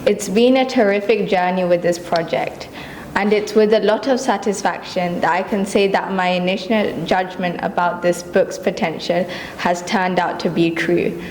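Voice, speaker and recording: A woman reading prepared messages aloud at a launch event, in a measured, formal way. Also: lowish voice, fairly even intonation, darkish tone, reverberant, slight background noise, poor audio.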